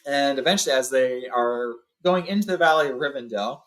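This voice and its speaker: A man's voice: medium pitch, brisk, a bit bright. A man hosting a book read-along, informally telling and reading a story aloud.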